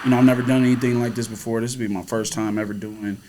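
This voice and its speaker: A man's voice: mid-range voice, steady tone, very fast, background noise. An American man co-hosting a podcast in casual, effusive chat. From Fort Wayne, United States. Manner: enthusiastic, effusive. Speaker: podcast co-host.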